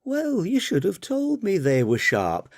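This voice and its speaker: A man's voice: high voice, wildly swooping pitch, dry recording, studio quality, pitched above the performer's own voice, exaggerated voice. A man doing a chirpy, bossy young daughter. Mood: chirpy scolding. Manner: chirpy, nagging, quick to scold. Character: lively young adult daughter, bubbly and bossy.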